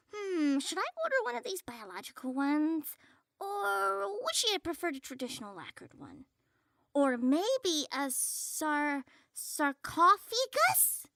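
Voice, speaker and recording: Woman voicing a squeaky, melodramatic little male spider creature. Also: vocal fry, bright, forceful tone, full character voice. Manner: melodramatic, stumbles over long words. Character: small green male web spider creature, fretful and morbid. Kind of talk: audio comedy cartoon acting.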